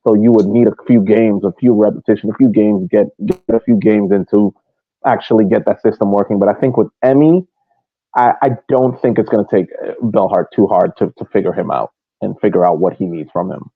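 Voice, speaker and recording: Guy with lowish voice talking sports analysis, measured, muffled phone line sound, very dry. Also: breathy edge, dry recording. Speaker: sports podcast co-host.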